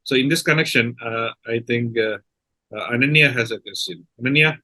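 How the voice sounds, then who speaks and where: A man with an Indian accent moderating an interview, putting questions in a halting way and rephrasing as he goes. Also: medium pitch, bright, forceful tone, dry recording.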